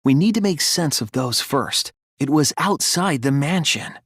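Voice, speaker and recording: A young male detective in a dramatic anime video game. The voice is a little breathy and recorded close and dry, with lively, wide-ranging pitch. He sounds earnest and unsure at times, then argues with real force. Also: a little slow, no room sound, exaggerated voice. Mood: tense, questioning. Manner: earnest, hesitant, turning forceful when arguing. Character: earnest teenage boy detective, hesitant but determined.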